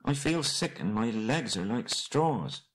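A man's voice: mid-range voice, animated, takes it slowly, husky, pitched above the performer's own voice. A man voicing a small, good-natured hobbit in a storybook, earnest and rueful, with polite old-fashioned phrasing. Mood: aching, weary.

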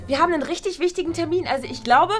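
A woman voicing a vain, excitable schoolgirl who talks in quick, indignant bursts in a toy play series. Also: very high-pitched. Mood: excited.

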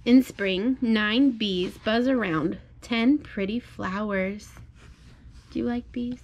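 A woman's voice: a little high, takes it slowly, a little mellow, muffled recording. A woman, a mother, reading a picture book aloud at home and prompting a small child with simple questions. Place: home bedroom, indoors.

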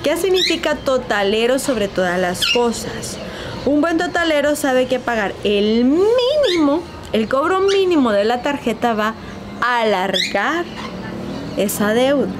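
Woman, 40s, lively coach, high-ish voice, outdoor balcony with clear street ambience. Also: noisy background. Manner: lively, uses rhetorical questions and formal "usted" address. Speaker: business coach. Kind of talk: informal financial advice talk to camera. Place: outdoor balcony overlooking hills.

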